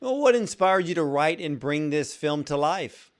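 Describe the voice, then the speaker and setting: Earnest interviewer, fairly high voice. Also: male voice, animated, moderate pace. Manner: earnest and complimentary, asks long wind-up questions. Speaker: interviewer, show host. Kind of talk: interview questions.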